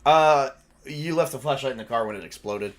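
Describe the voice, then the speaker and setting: A man's voice: medium pitch, a little fast. A man acting as game master, narrating and making rulings in a casual, off-the-cuff, conversational way.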